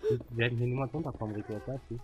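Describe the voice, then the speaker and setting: A man's voice: mid-range voice, very fast, highs cut off. A man chatting casually while he plays a video game with others. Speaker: gaming video player. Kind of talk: casual gameplay chat. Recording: clear music bed under voice. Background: music.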